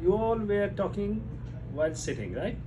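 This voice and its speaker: A man's voice: high voice, sing-song, fairly quick. A man at a workshop speaking jovially and putting questions to the room. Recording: noisy room mic.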